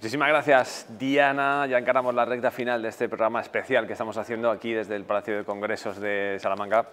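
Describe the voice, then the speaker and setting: A man's voice: on the low side, little pitch movement, fast talker, a bit bright. A man in his thirties hosting a TV magazine, asking a guest questions on a lapel mic. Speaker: TV host. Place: indoor interview set.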